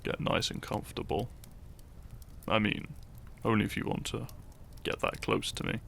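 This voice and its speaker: A man playing a shy, teasing, lovestruck young hero in an intimate romantic audio roleplay, speaking softly and hesitantly with small stammers. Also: on the low side, moderate pace, slight background noise. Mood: flustered, coy. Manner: soft, hesitant, stammering, breathy sighs and chuckles.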